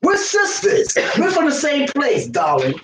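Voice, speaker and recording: A woman from Virginia, raised in New York City, with a slightly country Southern accent, co-hosting a casual live chat. She talks loud, outspoken and playful. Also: high voice, huge pitch swings, a little slow, distant mic. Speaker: co-host. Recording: roomy echo, faint noise.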